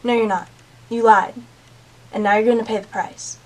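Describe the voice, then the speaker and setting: A woman's voice: mid-range voice, steady tone, moderate pace, close to an everyday voice, low-quality recording. A woman playing an accusing, vengeful mysterious woman in a dramatic film scene. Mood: accusing, menacing.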